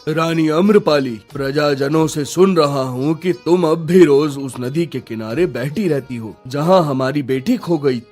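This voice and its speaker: A man's voice: on the high side, a little slow, a little mellow, lightly acted. A man voicing a commanding yet concerned king, speaking formally in a cartoon story. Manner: formal, questioning, authoritative. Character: commanding king, concerned husband of a grieving queen. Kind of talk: Hindi cartoon story dialogue. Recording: music under the voice.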